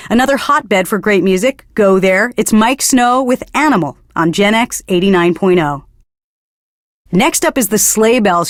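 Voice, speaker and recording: A woman's voice: medium pitch, dry recording, clean studio sound, lightly acted. An actress playing a bookish, pretentious young female alternative radio DJ in a video game, delivering earnest literary patter.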